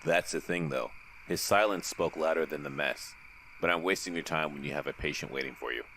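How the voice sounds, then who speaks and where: An actor playing a confident, wry, good-hearted superhero in an audio drama, speaking conversationally. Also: male voice, rumbling low, fairly quick, very noisy. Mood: measured.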